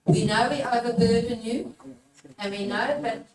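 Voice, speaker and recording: A woman's voice: fairly high pitch, fairly even intonation, moderate pace. A woman presenting a workshop talk to a live audience, urging listeners on and putting questions to them. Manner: exhortatory, puts questions to the listeners. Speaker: workshop presenter, religious formator. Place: echoey room at a live workshop. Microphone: picked up from a distance. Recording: echoey room, distant mic, background noise.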